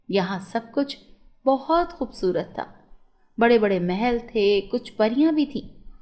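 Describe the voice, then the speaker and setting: A woman's voice: a little high, dark tone. A woman narrating a scripted moral story for children as a storyteller.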